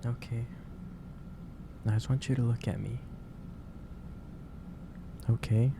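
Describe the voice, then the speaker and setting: A man playing a gentle, caring office boss in an ASMR roleplay, speaking in a hesitant, reassuring way. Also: mid-range voice, fairly even intonation, takes it slowly. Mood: fond, concerned. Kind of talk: ASMR roleplay, soft improvised monologue. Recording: clear background noise, ambience.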